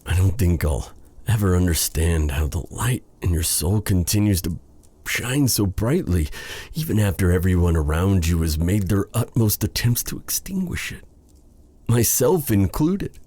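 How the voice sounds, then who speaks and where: Man voicing a dark fantasy sorcerer, deep voice, slow halting delivery, formal old-fashioned diction, brooding yet tender, romantic audio roleplay. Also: expressive, breathy edge, full character voice. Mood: wistful, tender.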